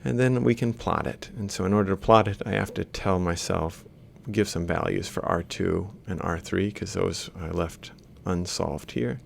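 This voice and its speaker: Very gravelly, low-voiced man lecturing. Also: normal speed, few pauses. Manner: step-by-step, thinking aloud with self-corrections. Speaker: robotics lecturer. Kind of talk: informal worked-example lecture, explaining while drawing. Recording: faint background noise with low rumble.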